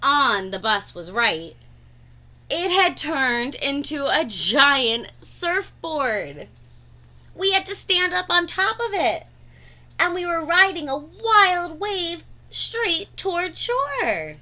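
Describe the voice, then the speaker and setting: A woman's voice: sits high, sing-song, slow, bright, forceful tone. A woman reading a children's storybook aloud expressively, drawing out words for dramatic emphasis. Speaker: read-aloud narrator.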